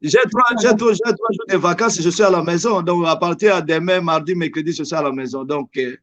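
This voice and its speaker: A high-voiced man with a Congolese French accent, Lingala his first language, speaking as a guest evangelist. He preaches emphatically, leaning hard on scripture lines and slowing down for stress. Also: highs cut off. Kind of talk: preaching on a TV talk show.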